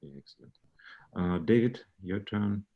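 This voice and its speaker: A man's voice: on the low side, moderate pace, vocal fry, narrow, tinny audio. A man hosting an online alumni session, calling on people in turn with many hesitant fillers. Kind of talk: moderating a video call. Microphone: video call microphone.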